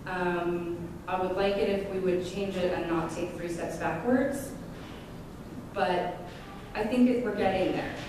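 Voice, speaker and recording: A woman with a deep voice stands a good way from the mic in a very echoey lecture room, taking audience questions after her talk. She speaks candidly and laughs often at herself. Steady background noise sits under her voice. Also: unhurried, far from the mic. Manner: laughs often, self-deprecating. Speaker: guest speaker.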